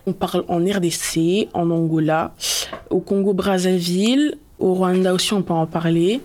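A woman's voice: fairly low pitch, darkish tone. A woman talking casually about herself, in an informal personal testimony.

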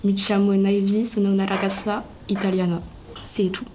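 A woman's voice: medium pitch, level tone, moderate pace, darkish tone. A woman chatting informally about herself in a casual testimony. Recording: noticeable background noise.

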